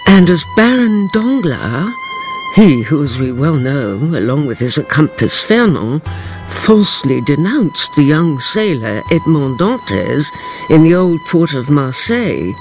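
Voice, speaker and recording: An actress playing a grave, knowing storyteller, narrating a radio drama with deliberate, theatrical phrasing. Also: female voice, low voice, very animated, music in the background, full character voice.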